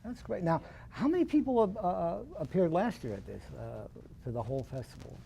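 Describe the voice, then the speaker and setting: A man's voice: fairly high pitch, huge pitch swings, a little fast, creaky, dark tone, slight background noise. A man hosting a TV studio interview, encouraging his guests and often answering "that's great". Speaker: TV host.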